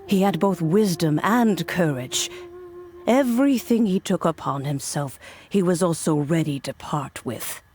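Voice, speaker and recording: Game casting: wise ancient female sage, lowish voice, solemn measured storyteller, music underneath. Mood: gently consoling. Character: calm wise ancient woman, old friend of gods. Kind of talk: scripted narration, game cutscene. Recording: music behind the voice.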